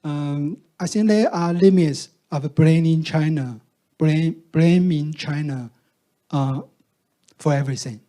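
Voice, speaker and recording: A man, Mandarin speaker, answering on a discussion panel. His voice sits a little high but sounds dark and mellow, and he talks slowly. He pauses often, restarting sentences and filling gaps with "uh". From Taiwan. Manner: halting, many fillers and restarts. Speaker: panelist from a fact-checking group.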